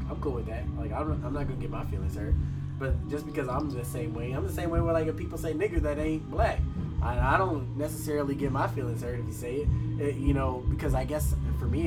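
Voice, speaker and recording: A man chatting casually and thinking out loud, with plenty of profanity and "you know what I mean" asides. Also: mid-range voice, rough recording. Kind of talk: casual chat. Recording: loud music behind the voices.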